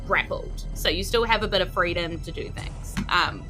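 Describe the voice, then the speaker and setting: A woman narrating a tabletop game as game master in a casual, improvised, animated way. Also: lowish voice, normal speed. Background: faint music.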